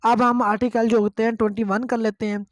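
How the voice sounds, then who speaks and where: A woman's voice: a little high, little pitch movement, fairly quick, no room sound, studio quality. A woman with a Pakistani accent lecturing on law, reading out phrases and explaining them didactically, switching between two languages and repeating herself. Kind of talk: lecture reading statute in English and explaining it line by line.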